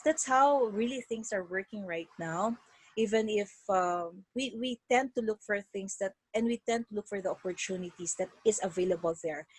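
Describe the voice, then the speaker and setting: A Filipino woman giving conversational, earnest interview answers in an animated way over a video call. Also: medium pitch, breathy edge, dry recording, poor audio. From Philippines. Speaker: interview guest, virtual assistant.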